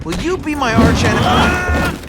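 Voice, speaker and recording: A man's voice: very high-pitched, animated, moderate pace, loud music behind the voice. A man playing a young, cocky superhero in a video game, impatient and sarcastic, with a quippy delivery. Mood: mocking, playful.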